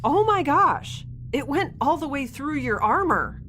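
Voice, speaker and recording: A woman's voice: high voice, big pitch swings, a little slow, background noise. A woman playing a candid, flustered young scientist in a sci-fi audio drama, hesitant, informal and wry. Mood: alarmed. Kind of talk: naturalistic audio drama acting.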